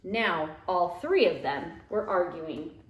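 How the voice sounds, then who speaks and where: Female storybook reader for young kids, very lively intonation, expressive delivery, roomy home sound. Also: fairly low pitch. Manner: expressive, dramatic drawn-out phrasing. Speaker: teacher reading aloud to young pupils. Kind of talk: animated children's storybook read-aloud. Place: living room at home. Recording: roomy, echoey home recording.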